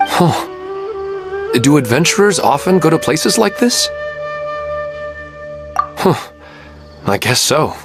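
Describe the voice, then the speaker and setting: A man's voice: on the high side, big pitch swings, slow pace, pauses often, over music, heavily acted. A man playing a humble, devoted adventurer in a video game, speaking in an earnest, reflective and deferential way. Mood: wistful, fond. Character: humble, devoted, wistful adventurer, husband and father.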